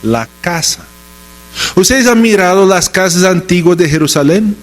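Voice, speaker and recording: This is a man preaching a Sunday sermon in a second language, with an American accent from his native English. His voice sits a little high for a man. He moves between scripture and storytelling, posing questions and answering them with short, repeated phrases for emphasis. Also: lively intonation, moderate pace. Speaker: pastor preaching.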